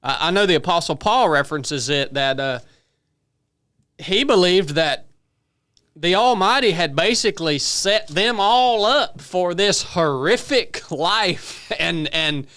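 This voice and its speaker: A man's voice: high voice, expressive, takes it slowly, bright, forceful tone, smooth. A man in a casual discussion of faith, speaking earnestly and repeating phrases for emphasis. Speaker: podcast team member.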